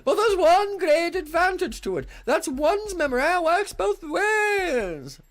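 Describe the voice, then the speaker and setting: Squeaky screechy old queen, all over the place, faint music behind. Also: big pitch swings, full character voice. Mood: shrill, fussy. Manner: very high squealing, screaming, drawn-out.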